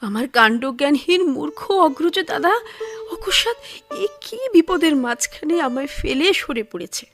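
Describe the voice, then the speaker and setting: An actress playing a proud, poor young village wife in a radio drama, anxious and dramatic. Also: female voice, high-pitched, expressive, a little slow. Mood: aggrieved, anxious. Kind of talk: Bengali radio drama acting. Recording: music behind the voice.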